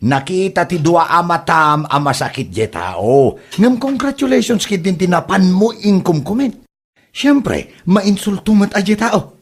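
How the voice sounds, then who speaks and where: A man acting an exasperated middle-aged father with a husky voice in an amateur comedy drama. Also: high voice, very animated, measured pace, full character voice. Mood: scolding. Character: exasperated father, scolding and comforting his grown daughter.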